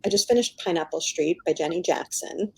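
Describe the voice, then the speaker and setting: A woman's voice: on the low side, a little fast, vocal fry, a little mellow, breathy edge, low-quality recording. A middle-aged American woman chatting informally as an author in an interview, often dropping "like" into her sentences. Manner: informal, peppers talk with "like". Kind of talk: casual chatty book interview.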